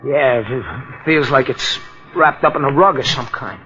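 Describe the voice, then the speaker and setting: An actor playing a simple, eager, folksy police sidekick with a gravelly voice and excitable slang, in old-time radio drama. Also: male voice, mid-range voice, moderate pace, lightly acted. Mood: puzzled, amazed. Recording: dull vintage radio recording, faint music.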